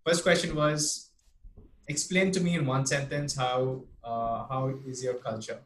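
A man from India hosting an interview, telling a story in a lively, chatty way. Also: mid-range voice, unhurried, a little hiss or hum. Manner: animated storytelling, quoting others. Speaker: interview host. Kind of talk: casual interview chat. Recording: echoey room.

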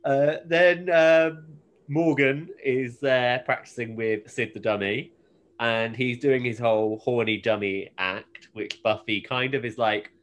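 Male podcast co-host, mid-pitched voice, informal and halting, walking through a plot conversationally. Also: fairly even intonation. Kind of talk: casual episode recap, conversational.

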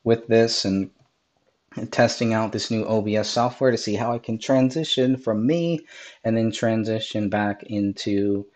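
A man hosting a live-streamed podcast, hoarse from a cold, talking through video clips with lots of ums and restarts. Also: on the low side, unhurried, darkish tone. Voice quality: raspy. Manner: rambling, many ums and restarts. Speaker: podcast host.

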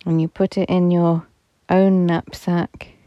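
A woman guiding a visualization meditation in a soft, soothing, unhurried manner, at times dropping almost to a whisper. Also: on the low side, flat delivery, no echo, muffled recording. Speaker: meditation guide. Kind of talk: guided meditation narration.

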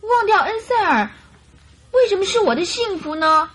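A woman's voice: very high voice, expressive, moderate pace, a bit bright. A woman playing a naive, devoted young lady in distress in a radio drama, pleading and girlish. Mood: insistent, upset. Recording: radio drama with music behind.

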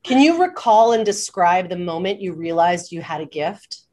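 Woman, slightly low voice, podcast host, conversational, attentive, guiding interviewer. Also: expressive, normal speed, a little mellow.

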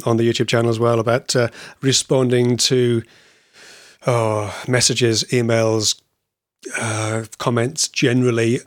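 A man's voice: medium pitch, dry recording. A man co-hosting a podcast in friendly casual chat, hesitant with lots of uh sounds and restarts, on a video call. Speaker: podcast co-host.

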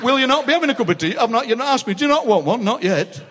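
An older man with a Yorkshire accent, a guest poet telling anecdotes on a stage with quick comic impressions and frequent laughter. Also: very high-pitched, animated, noisy background. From South Yorkshire, England. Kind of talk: live stage chat, storytelling. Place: large city hall on stage. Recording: long reverb of a large hall.

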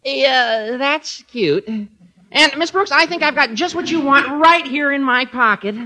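A cheeky teenage schoolboy from a vintage radio comedy. The voice is very high and piercingly bright, with an animated swoop. He sounds adolescent, eager and wheedling, like a born schemer. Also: measured pace, full character voice. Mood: eager, scheming. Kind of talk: 1950s radio sitcom acting.

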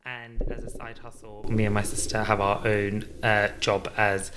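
Man in his thirties from the UK, bright voice, giggly, lots of ums. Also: fairly low pitch, level tone, moderate pace, slight background noise. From United Kingdom. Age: 30s. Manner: laughs often, many ums and uhs. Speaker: guest. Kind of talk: casual interview.

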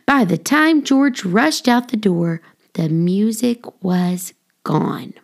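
A woman reading a children's story aloud as scripted narration, with expressive, deliberate phrasing for young listeners. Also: medium pitch. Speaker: audiobook reader, children's storyteller.

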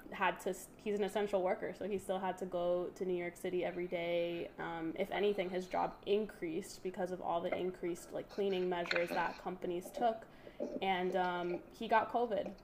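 A woman's voice: fairly low pitch, fairly even intonation, moderate pace, a little mellow, a little hiss or hum. A woman hosting a podcast, talking in an earnest, chatty way in a casual interview. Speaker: podcast host.

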